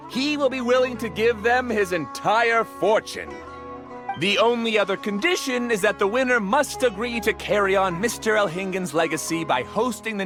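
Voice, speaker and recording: An actor playing a confident scholar who hosts a tournament in a video game, giving a formal, grandly reassuring speech. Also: male voice, high-pitched. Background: music.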